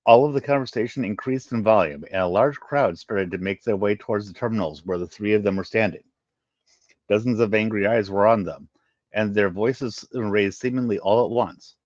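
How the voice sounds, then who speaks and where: Male story reader, lower register, halting delivery, roomy sound. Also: a little mellow. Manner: halting, stumbles over words. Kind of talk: reading a sci-fi story aloud. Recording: muffled, roomy home recording.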